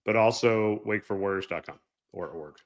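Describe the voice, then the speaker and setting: A man's voice: on the low side, normal speed. A man joining a casual live show as a guest, talking easily and laughing often. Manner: laid-back, laughs often. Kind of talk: casual live-stream chat.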